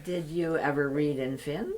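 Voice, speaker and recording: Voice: medium pitch, fairly even intonation, measured pace, darkish tone. Oral history interviewer. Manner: brief encouraging prompts.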